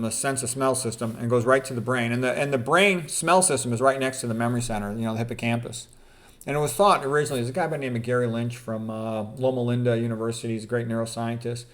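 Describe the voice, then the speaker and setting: Emphatic man giving an informal health talk. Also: medium pitch. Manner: emphatic, opinionated, repeats points for stress. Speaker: physician presenter. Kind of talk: informal health lecture, reading quotes aloud with commentary.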